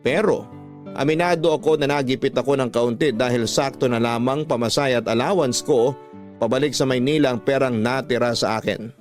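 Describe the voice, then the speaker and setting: A man's voice: mid-range voice, brisk, soft background music, lightly acted. A man narrating a scripted first-person radio story as an uncle recounting his family troubles. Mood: reflective.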